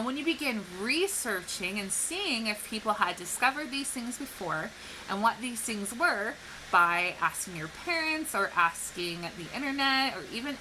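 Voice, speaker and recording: A woman speaking like a teacher to young children, explaining things clearly and posing questions in an educational read-aloud. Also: a little high, noisy background. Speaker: teacher reading a picture book to children.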